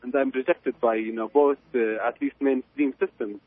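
A man with an Iraqi Arabic accent, a guest on a radio show, speaking haltingly with many fillers and restarts. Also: mid-range voice, steady tone. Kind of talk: phone-in interview. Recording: tinny phone line.

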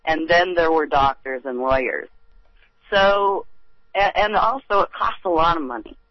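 A woman's voice: deep voice, unhurried, dry recording, dull audio. A woman calling in to a radio talk show, earnest as she makes her case as a medicinal grower.

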